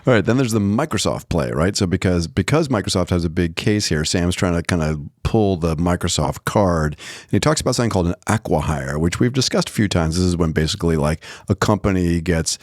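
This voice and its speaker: Low-voiced man co-hosting a chatty podcast. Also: animated, fairly quick, no room sound, studio quality. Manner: chatty, jokey, explains asides. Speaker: podcast co-host. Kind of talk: casual two-host podcast banter.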